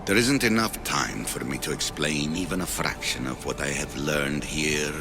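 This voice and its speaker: An extremely low-voiced old man, solemn and detached, guarding ancient secrets. He speaks formally and slowly weighs his words with a gravelly rasp, over dramatic game music. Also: animated. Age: older. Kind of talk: dramatic video game cutscene acting.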